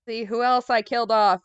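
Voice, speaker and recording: A woman's voice: on the high side, no room sound, lo-fi sound. A woman co-hosting a gaming stream, casually chatting and recapping the story with easygoing wry humor. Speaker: let's play co-host.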